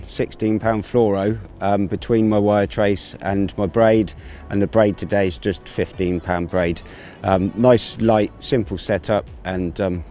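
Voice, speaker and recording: Relaxed low-voiced man explaining fishing gear. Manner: relaxed, explains gear step by step with many fillers. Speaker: angling presenter. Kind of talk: outdoor fishing tutorial. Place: grassy riverbank outdoors.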